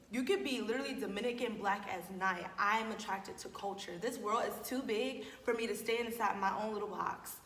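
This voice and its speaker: A woman's voice: medium pitch, pushed and bright. A woman of twenty, a panel guest, talking with emphatic conviction. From United States. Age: 20s. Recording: echoey room with some reverb.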